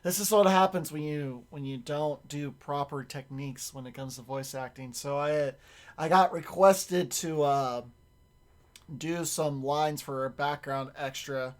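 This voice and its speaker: Raspy-voiced man chatting casually on stream. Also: fairly high pitch, a little slow. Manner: rambling, self-correcting, swears casually. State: raspy, strained voice. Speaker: streamer and voice actor.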